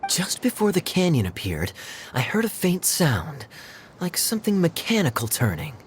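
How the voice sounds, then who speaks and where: Low female voice, composed, observant, game dialogue, faint background noise. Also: very animated, moderate pace. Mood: serious.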